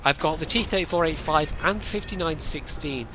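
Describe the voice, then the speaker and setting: A man's voice: fairly high pitch, brisk, background noise. Light-Scottish man in his late twenties. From Scotland, west coast. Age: late 20s. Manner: rambling, self-mocking, jokey. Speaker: YouTuber answering viewer questions. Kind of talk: casual Q&A chat.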